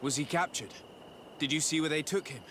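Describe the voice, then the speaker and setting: An actor playing an earnest, courteous diplomat in a fantasy video game, polite and assured. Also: male voice, on the high side, fairly quick, fairly bright tone, a little hiss or hum, lightly acted. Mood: calm. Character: young male diplomat legate, earnest and courteous.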